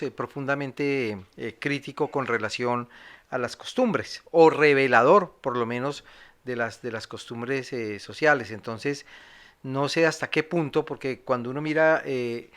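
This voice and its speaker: A man's voice: mid-range voice, fairly bright tone, clean tone. A man speaking reflectively in a discussion, hesitantly searching for words in wordy academic phrasing.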